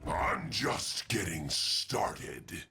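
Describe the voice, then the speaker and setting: A menacing beast hunter from a video game, savage and proud. The voice is deep, gravelly and growling, with slow, forceful declarations over music. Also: male voice, very animated, full character voice. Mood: gloating, predatory. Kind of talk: video game character voice lines.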